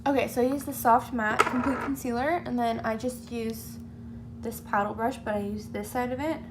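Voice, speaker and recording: A 21-year-old woman with a slightly hoarse voice, chatting casually through a makeup tutorial in a rambling, self-deprecating way. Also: fairly high pitch, steady tone, moderate pace, music in the background. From California, United States. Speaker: beauty vlogger. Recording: amateur home video, clear humming background noise.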